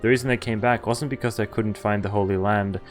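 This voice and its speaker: Low-voiced man reading a game aloud. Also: fairly even intonation, brisk, soft background music. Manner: reacts with asides between read lines. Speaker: streamer playing a visual novel. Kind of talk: reading game text aloud with casual reactions.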